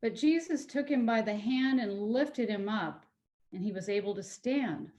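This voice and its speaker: Higher-pitched woman reading scripture. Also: expressive, unhurried, a little mellow. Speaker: scripture reader. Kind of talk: Bible reading. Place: at home, on a video call. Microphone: video call.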